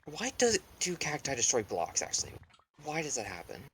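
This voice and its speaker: A man in casual gaming chat, grumbling about the game in a dry, deadpan way. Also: medium pitch, fairly quick, slight background noise. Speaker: streamer co-host.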